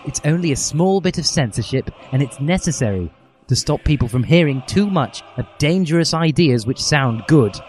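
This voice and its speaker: A man's voice: fairly high pitch, expressive, normal speed, a little mellow, pitched above the performer's own voice, lightly acted. A man voicing a prim teenage schoolgirl, a nagging know-it-all, in a comic story reading. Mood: prim, reasonable. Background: music.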